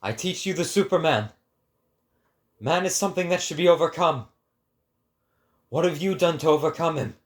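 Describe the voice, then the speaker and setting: A man's voice: fairly high pitch, normal speed, pauses often, close to an everyday voice. A man voicing an impassioned male prophet who declaims like an orator in a dramatic reading.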